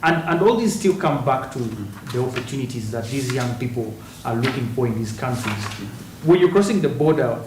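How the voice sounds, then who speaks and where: A man's voice: medium pitch, animated, a little fast, distant mic. Man, TV panel host, conversational, repeats words, a bit off-mic. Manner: conversational, repeats words when starting a point. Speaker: TV talk show host. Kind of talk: studio panel discussion. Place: TV studio. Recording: roomy studio, faint hiss, a bit off-mic.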